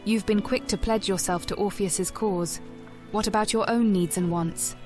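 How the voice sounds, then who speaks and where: A woman's voice: mid-range voice, steady tone, moderate pace, over music, natural voice. A woman playing a composed, inquisitive elven sorcerer in a video game. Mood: inquiring. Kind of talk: cinematic video game acting.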